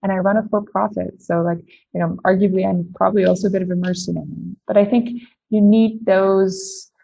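A woman's voice: mid-range voice, level tone, fairly quick, vocal fry, mellow, muffled recording, slight background noise. A woman from the Netherlands, a company founder answering interview questions, thinking aloud with many "like"s and restarts. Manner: lots of "like" and restarts, thoughtful.

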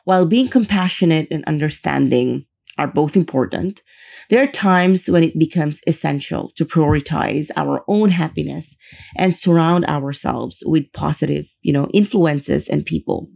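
A young woman hosts a solo podcast in a low, mellow voice with a gravelly fry. She talks earnestly and chattily, doubling words to stress a point. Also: normal speed. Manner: earnest, chatty, emphatic repetitions. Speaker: podcast host. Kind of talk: casual solo podcast talk.

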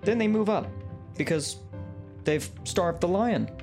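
Man playing an officious, exasperated boss, higher-pitched, over music. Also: measured pace. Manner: pompous, deadpan corporate patter. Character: exasperated, officious male boss of a death pit. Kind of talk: comedic audio drama acting.

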